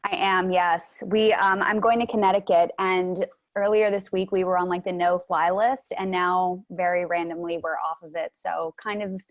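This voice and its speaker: A young American actress introducing herself in casual conversation. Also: female voice, fairly low pitch, level tone, normal speed, no room sound. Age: young adult.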